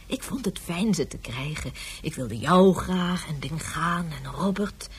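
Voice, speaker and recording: An actress playing a wistful, stubborn mother in a science fiction radio drama, speaking in a soft, reflective way. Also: lowish voice, expressive, measured pace, slightly rough, full character voice. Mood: tender, insistent.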